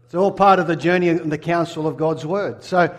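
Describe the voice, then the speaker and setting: A man's voice: fairly high pitch, fairly quick. A middle-aged Australian man from Sydney, preaching a sermon and telling his own story earnestly, putting questions to the congregation. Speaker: pastor. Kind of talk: sermon with personal testimony.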